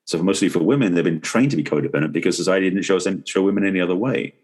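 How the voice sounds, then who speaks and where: Deep, husky, quick-talking middle-aged man. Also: darkish tone. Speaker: podcast guest, relationship expert and author. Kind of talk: conversational interview explanation.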